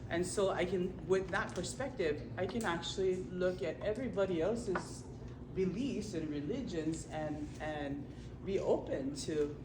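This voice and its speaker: A speaker sharing a personal story at a community talk, earnest and halting, with fillers and restarts. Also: medium pitch. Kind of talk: personal testimony. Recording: roomy, distant, noisy room recording.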